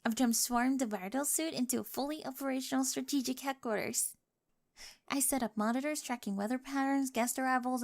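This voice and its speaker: A woman plays a quirky young bride, devoted to the point of obsession. Her voice is high and soft, often dropping to a whisper, close and dry. She speaks with nerdy, analytical precision in an ASMR roleplay. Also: gravelly, dry recording. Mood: excited, planning. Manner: precise, analytical, jargon-heavy speech. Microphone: close, dry microphone.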